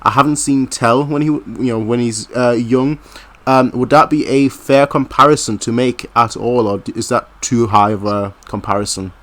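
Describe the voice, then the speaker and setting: Bright-voiced man, hesitant interviewer. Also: mid-range voice, moderate pace. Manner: hesitant, wordy, hedges and rephrases his questions. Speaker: host, interviewer. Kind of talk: casual football interview.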